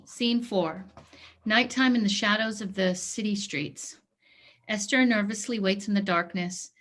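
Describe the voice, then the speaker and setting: A woman's voice: medium pitch, a little slow, a bit bright. A woman reading stage directions as scripted narration for a play.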